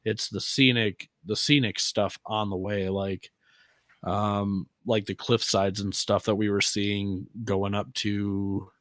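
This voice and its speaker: A man's voice: on the low side, level tone, takes it slowly, a bit bright, dry recording, studio quality. An American man co-hosting a casual off-roading podcast, talking in an informal, slangy way with occasional swearing. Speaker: podcast co-host. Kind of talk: casual conversation about off-roading.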